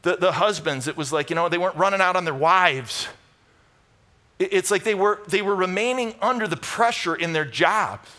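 A middle-aged American man preaching a conversational sermon, emphatic and animated, moving between loud bursts and hushed asides. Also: sits high, normal speed. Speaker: pastor preaching. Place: large church auditorium stage with audience.

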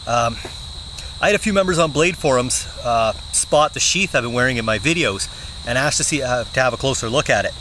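A young man hosting a bushcraft vlog, sitting outdoors in the woods and talking to the camera in a relaxed, plain-spoken way with frequent "uh" fillers. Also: medium pitch, brisk, low-quality recording. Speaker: bushcraft channel host. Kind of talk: casual show-and-tell vlog. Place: woods outdoors, seated on the ground. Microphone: camera mic at close range. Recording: outdoor camera audio with insect noise. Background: crickets and insects.

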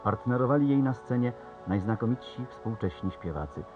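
A man's voice: mid-range voice, animated, normal speed, muffled recording. A man presenting a TV program, reading formal scripted narration that steadily lists names and places. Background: music.

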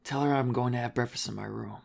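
A man's voice: mid-range voice, fairly even intonation, fast, vocal fry. A man voicing an awkward, well-meaning father with a hesitant manner in a comic fan dub. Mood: puzzled, sheepish. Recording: roomy echo under music.

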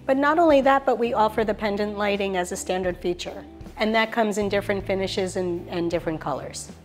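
Enthusiastic middle-aged woman pitching luxury homes. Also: brisk, faint music behind. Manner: enthusiastic, salesy, lists features. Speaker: sales director giving a property tour. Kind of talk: polished real estate showcase tour. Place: show apartment kitchen, indoors.

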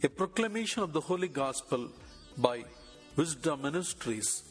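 A man with a higher voice reads a formal program intro. Clear instrumental music plays under his voice, so it sounds like a produced opening. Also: animated, normal speed. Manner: formal, announcing. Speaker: program announcer. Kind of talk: scripted intro announcement.

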